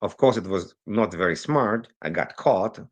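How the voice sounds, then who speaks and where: A man with a low voice talks as a guest over a remote call. English is not his first language. He tells his story energetically, sometimes speeding up and drifting off on tangents. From Israel. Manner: animated, rushes through some phrases, digressive. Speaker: guest, cybersecurity consultant. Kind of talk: conversational interview storytelling. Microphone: remote recording, virtual call.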